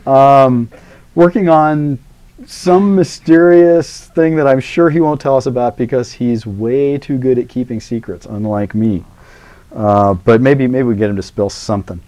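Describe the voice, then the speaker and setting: A man's voice: medium pitch, measured pace, warm tone. A middle-aged man introducing a guest in a university lecture, chatty and rambling, full of filler words and self-mocking asides. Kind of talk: live classroom lecture introduction.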